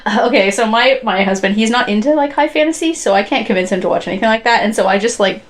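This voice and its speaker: A woman's voice: fairly high pitch, lively intonation, quick pace. A woman co-hosting a podcast, chatting informally and giggling easily. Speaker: podcast co-host. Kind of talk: casual chat.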